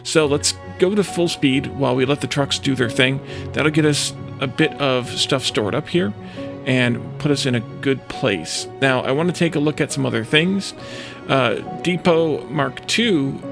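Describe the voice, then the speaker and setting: A man's voice: medium pitch, creaky. A man giving casual let's play commentary, thinking aloud and musing to himself as he plays.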